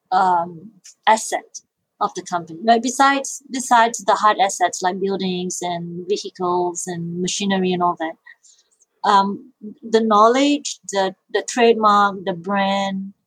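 A woman's voice: mid-range voice, no room sound, rough recording. A middle-aged woman from Malaysia, answering interview questions thoughtfully, often repeating words as she restarts. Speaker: guest, social enterprise founder and IP lawyer.

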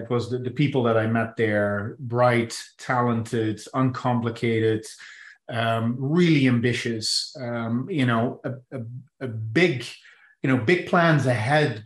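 Man in an echoey room. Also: medium pitch. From Netherlands. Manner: hesitant, many restarts and fillers. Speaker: guest executive. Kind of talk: conversational interview.

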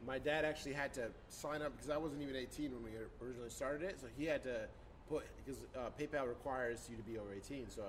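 A man's voice: mid-range voice, fast talker, a little hiss or hum. A man of about twenty-five telling how his businesses started, in an upbeat, hands-on way. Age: 20s. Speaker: business co-founder guest. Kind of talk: casual podcast chat.

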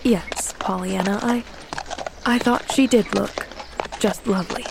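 Woman as a quiet, grave gentleman doctor. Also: mid-range voice, animated, measured pace, background noise. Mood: low, hesitant. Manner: hesitant, quiet, courteous.

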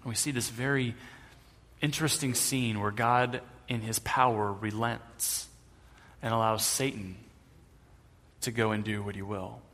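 A man's voice: on the low side, steady tone, a little slow, pauses often, a bit bright. A man preaching a sermon as pastor in a conversational way with light humor, in a church sanctuary, with a long hall reverb on the recording.

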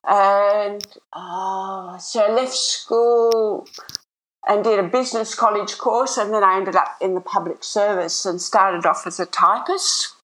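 A woman's voice: medium pitch, fairly even intonation, slow, a bit bright, low-quality recording. An older retired woman with an Australian accent, chatting in an upbeat, rambling way as an interview guest. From Sydney, Australia. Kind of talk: casual interview chat.

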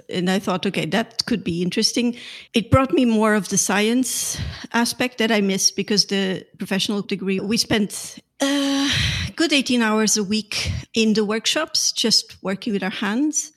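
A middle-aged woman with a Portuguese accent, talking reflectively as an interview guest, with fillers and restarts. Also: on the high side. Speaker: guest, art conservator. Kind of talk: casual interview talk.

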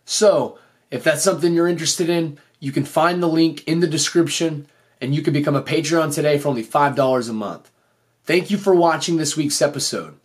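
A man speaks in a somewhat high-pitched voice that is slightly off, as if recovering from losing it. He delivers a motivational faith podcast monologue as its host, sounding earnest and encouraging. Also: a little fast.

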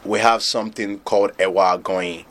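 A man from Nigeria, founder of a pop-up restaurant, talking in a relaxed, loose way as a guest in an interview. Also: on the low side, fairly quick. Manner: relaxed, loose, filler-heavy talk with laughs. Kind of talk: casual interview chat.

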